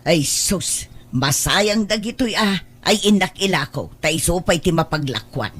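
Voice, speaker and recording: A woman's voice: fairly low pitch, expressive, measured pace, husky. A woman playing a fretful, thrifty mother of a grown daughter in an audio drama, scolding as she goes. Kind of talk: Ilocano audio drama dialogue.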